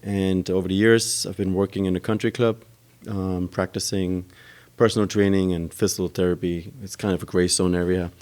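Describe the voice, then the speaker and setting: A man talks in a very low voice as a podcast guest. He explains how his gym works at length, pausing often with ums and uhs as he goes. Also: level tone, darkish tone. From Germany. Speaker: gym owner and trainer. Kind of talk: podcast interview answers.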